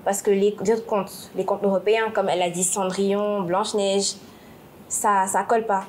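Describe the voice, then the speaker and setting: A woman's voice: medium pitch, little pitch movement, moderate pace, darkish tone, a little hiss or hum. A young woman in a classroom book discussion, thoughtful and searching for her words.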